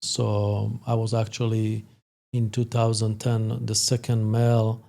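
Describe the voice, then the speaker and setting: A man in his fifties with a Slovak accent, a psychologist explaining things as an interview guest in a halting, hesitant way. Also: lowish voice, monotone, gravelly, mellow. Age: 50s. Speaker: sports psychologist, podcast guest.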